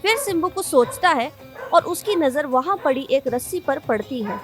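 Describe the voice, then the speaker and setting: A woman's voice: high voice, lively intonation, moderate pace. A woman reading scripted narration for a children's story. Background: background music.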